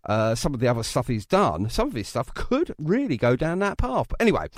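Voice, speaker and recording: Man, lively swooping interviewer. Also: mid-range voice, brisk, dry recording, studio quality. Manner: chatty, effusive, jokey, often repeats phrases. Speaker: podcast interviewer. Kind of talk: casual music interview.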